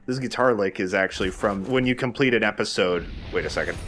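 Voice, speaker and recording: A man's voice: lowish voice, quick pace, a bit bright. A man giving casual, sweary and jokey commentary as he plays a video game. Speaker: let's play host, player. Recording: game music behind the voice. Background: video game music.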